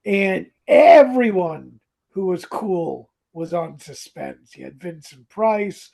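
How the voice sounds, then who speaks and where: A podcast co-host chatting casually and comedically about old radio drama, with a theatrical, mock-dramatic manner and a husky voice. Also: mid-range voice, animated, slow pace, warm tone.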